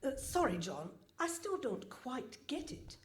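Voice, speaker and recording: A woman's voice: high-pitched, big pitch swings, measured pace. A woman playing a naive, puzzled recording producer with a questioning manner in a live radio comedy play. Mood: businesslike. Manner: hesitant, questioning. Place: hall stage in front of a live audience.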